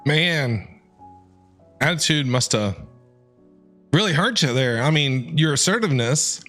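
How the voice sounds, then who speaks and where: A man streaming a video game, giving casual, enthusiastic and expressive commentary. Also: on the high side, measured pace. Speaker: gaming streamer. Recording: music behind the voice.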